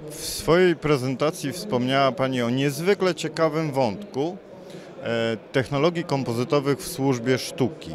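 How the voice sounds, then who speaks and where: A man's voice: medium pitch, normal speed. A man putting formal, prepared questions as an interviewer in an exhibition hall. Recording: busy trade fair hall crowd noise. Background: crowd hubbub.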